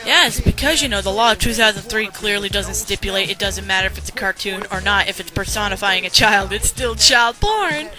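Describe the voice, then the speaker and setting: A young woman giving blunt, unscripted critical commentary in an animated, ranting way. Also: mid-range voice, brisk, hardly any pauses. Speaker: commentary video host. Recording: music playing behind the voice.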